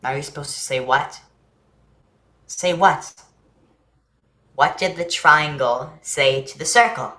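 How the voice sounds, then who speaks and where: Eager, earnest kid played by a woman for a staged play, full of questions, slightly low, echoing room. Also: slow pace, long pauses, bright, forceful tone, exaggerated voice. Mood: playful. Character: naive, eager, chatty young boy who loves jokes.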